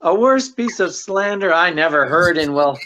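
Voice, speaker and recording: A man's voice: high-pitched, animated, normal speed, pushed and bright, a little hiss or hum. A man playing a suave, wry, affectionate detective husband in 1940s radio acting style. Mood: amused, affectionate. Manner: wry, debonair.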